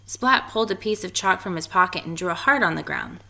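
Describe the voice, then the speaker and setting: Woman doing a kids book read-aloud, really lively pitch swings, bit of gravelly fry. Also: brisk, slight background noise. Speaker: story reader. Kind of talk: expressive children's picture book read-aloud.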